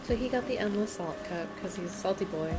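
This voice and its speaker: A woman's voice: medium pitch, fast. A woman giving casual live gameplay commentary as a streamer, playful and easily amused. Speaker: game streamer. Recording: livestream with loud game music. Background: loud game music.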